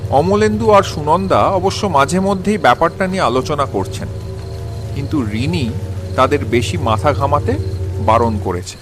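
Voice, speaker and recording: Guy narrating a crime story, dramatic, highish voice, music playing under him. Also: expressive, moderate pace. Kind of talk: scripted suspense story narration.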